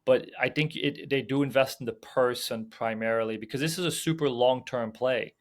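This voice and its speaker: A young man from Belgium talks through his ideas as an interview guest. His delivery is loose and conversational, packed with "um", "like" and repeated restarts, at a middle pitch. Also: normal speed. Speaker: film producer and sales agent, guest. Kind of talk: casual podcast interview.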